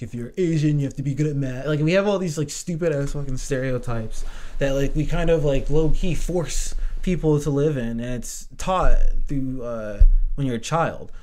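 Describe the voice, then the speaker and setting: Man, casual talk, rambling, lots of "like", swears freely, medium pitch. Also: darkish tone. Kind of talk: casual chat.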